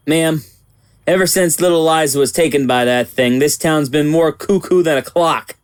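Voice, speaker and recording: An actor playing an earnest, protective small-town sheriff in an audio drama, plainspoken and folksy. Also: male voice, fairly high pitch, moderate pace, clear, resonant tone, close to an everyday voice. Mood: reassuring, grave.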